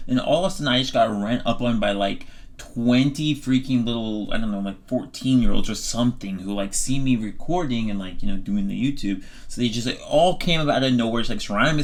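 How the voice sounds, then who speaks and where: A man giving a casual, slangy and rambling voice-over recap as a vlogger, constantly padding it with filler words. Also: mid-range voice. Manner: rambling, slangy, heavy use of "like". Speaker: travel vlogger.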